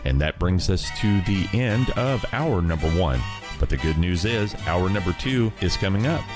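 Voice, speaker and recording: A man hosting an old-time radio show, introducing episodes in a relaxed, conversational way. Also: deep voice, moderate pace. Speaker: host. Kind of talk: casual radio presenting. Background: loud guitar music.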